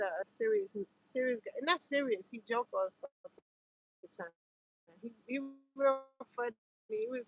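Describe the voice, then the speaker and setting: Casting: female livestream host, New York accent, fairly high voice, very unhurried, informal and rambling delivery. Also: fairly bright tone, rough recording. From Harlem, New York City, United States. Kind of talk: casual livestream chat.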